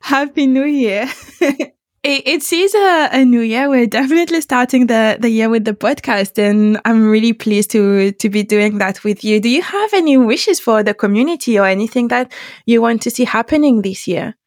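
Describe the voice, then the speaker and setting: A woman's voice: on the high side, lively intonation, moderate pace, a little mellow, no echo. The upbeat woman you would hear welcoming guests on a careers podcast, recorded close and dry. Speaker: podcast host. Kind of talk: conversational podcast hosting.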